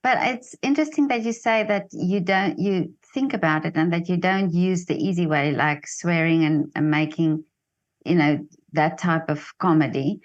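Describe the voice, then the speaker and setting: A woman interviewer asking halting questions full of fillers. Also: on the low side, muffled recording.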